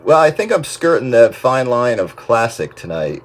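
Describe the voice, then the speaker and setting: A man's voice: mid-range voice, big pitch swings, normal speed. A man chatting casually as a hobbyist over an old two-way radio. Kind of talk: casual on-air radio chat. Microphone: radio microphone. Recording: old radio set with loud static.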